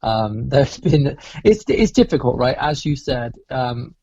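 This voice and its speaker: Gravelly, husky man on a lo-fi call. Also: mid-range voice, very animated, a little slow, mellow, dry recording. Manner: reflective, laughs often, restarts phrases. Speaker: cruise entertainment director, guest. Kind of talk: casual podcast interview.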